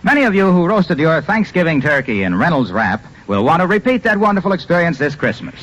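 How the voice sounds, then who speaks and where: An American male announcer reading a fifties radio ad. His voice is high and animated with a husky grain, delivering a polished sales pitch on a muffled old broadcast recording. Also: a little fast. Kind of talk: 1950s radio advertising announcement.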